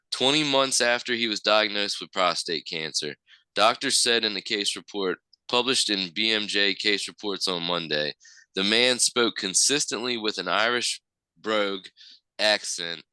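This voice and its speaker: A man co-hosting a casual podcast, reading a news article aloud in an easygoing, halting way. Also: fairly low pitch, pushed and bright, clear, resonant tone, no room sound, poor audio. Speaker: podcast co-host.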